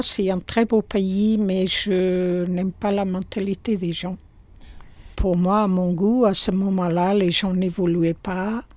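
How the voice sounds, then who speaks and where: Slow older woman, Portuguese accent. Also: mid-range voice, darkish tone, no echo. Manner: slow, hesitant, with many fillers. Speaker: shopkeeper. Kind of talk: interview in her shop. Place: small shop indoors.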